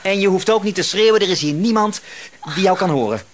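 Paranoid shopkeeper, suspicious, insistent, drawn-out words, animated pitch, quietly menacing, radio play. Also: male voice, very noisy. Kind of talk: Dutch radio drama acting.

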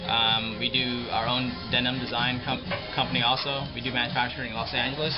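Flat-voiced young store owner. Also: male voice, medium pitch, a little fast. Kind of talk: casual shop introduction. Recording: dull lo-fi sound under loud music.

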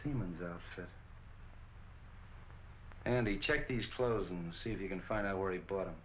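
A middle-aged American actor playing a gruff, curt, hard-boiled police captain in a 1940s film. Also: male voice, low voice, moderate pace, a little hiss or hum, lightly acted. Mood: grim, determined. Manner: curt, brusque. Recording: dull, band-limited 1940s film soundtrack.

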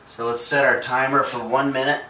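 Bright-voiced man in an echoey bedroom. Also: medium pitch, flat delivery, normal speed, slight background noise. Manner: earnest, trailing off and restarting mid-sentence. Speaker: host of a home workout parody. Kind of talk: deadpan fitness instruction. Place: small bedroom at home. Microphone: camera mic from across the room. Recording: echoey room, voice a bit far from mic.

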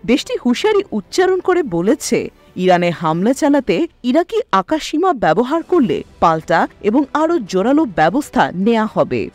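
Female news voice-over, fairly high register, very varied melody, reading from a script. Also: big pitch swings, fairly quick. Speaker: news narrator. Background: background music.

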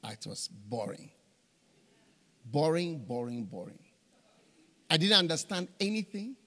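Middle-aged preacher man on a big stage, high voice jumping all over, long hall echo. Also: unhurried. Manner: animated storytelling with repeated phrases. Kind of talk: conference sermon. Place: large church stage with hall reverb. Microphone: handheld microphone. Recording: reverberant hall.

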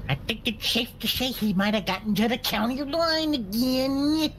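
A man playing an excitable, bumbling Southern sheriff who whoops, sputters and repeats himself in comic game roleplay. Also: very high-pitched, moderate pace. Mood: exasperated. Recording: sirens and vehicle noise behind. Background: police sirens, game vehicle noise.

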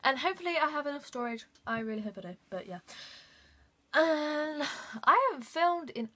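A woman's voice: sits high, expressive, moderate pace, sharp tone. A young woman vlogging casually from her bedroom, rambling with lots of fillers and little giggles. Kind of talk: casual vlog chat. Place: bedroom, indoors. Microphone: phone camera at arm's length.

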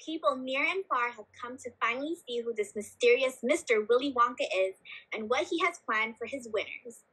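A woman's voice: on the high side, animated, fairly quick, fairly bright tone, breathy edge, low-quality recording. A woman giving scripted narration for a puppet show as its narrator.

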